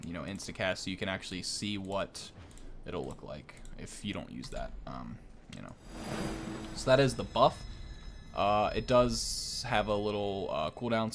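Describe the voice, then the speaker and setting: A man's voice: sits low, a little hiss or hum. A man hosting a gaming video with casual, off-the-cuff gameplay commentary.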